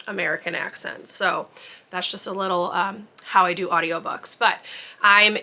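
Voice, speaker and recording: A woman's voice: on the low side, fairly even intonation, moderate pace, sharp tone. A woman book vlogger chatting casually to camera, rambling with lots of ums and likes.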